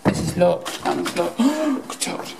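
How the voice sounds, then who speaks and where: A nervous woman with a fairly low voice talks on camera in an empty, echoing building. Loud noise runs behind her. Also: lively intonation, a little slow, gravelly. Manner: nervous, anxious exclamations. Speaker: urban explorer. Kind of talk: handheld exploring vlog. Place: dark abandoned building indoors. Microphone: handheld camera.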